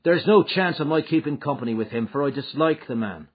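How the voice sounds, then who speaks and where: A storyteller plays a young gangster, bold and defiant. The voice jumps up and swings a lot, with a husky edge. He sounds cocky and hearty, as in an audiobook crime tale, on a slightly dull recording. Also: a little high, expressive, pitched above the performer's own voice. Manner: cocky, quick to answer back. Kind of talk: audiobook character acting.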